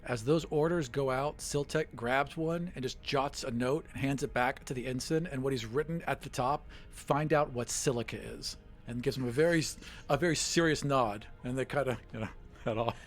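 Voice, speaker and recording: Mid-pitched player chatting through a roleplay game. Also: normal speed, soft background music. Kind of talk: casual improvised roleplay.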